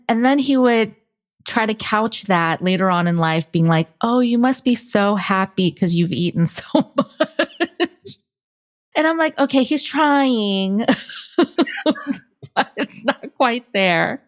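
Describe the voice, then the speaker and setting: A woman's voice: fairly high pitch, lively intonation, slow. A woman hosting a podcast, talking casually and personally with a guest in loose, conversational phrases. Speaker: podcast host.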